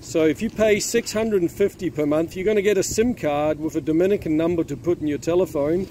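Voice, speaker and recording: A man's voice: a little high, a little fast, dark tone. A man hosting a vlog, explaining things to viewers in a casual, chatty, informative way. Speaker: vlog host. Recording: noisy outdoor street with traffic.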